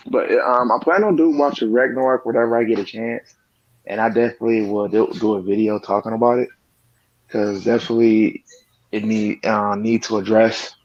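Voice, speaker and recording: Low-voiced man on a muffled phone line. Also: darkish tone. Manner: easygoing, halting, many ums. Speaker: podcast co-host. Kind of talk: casual gaming podcast chat. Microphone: cell phone. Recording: dull lo-fi phone audio.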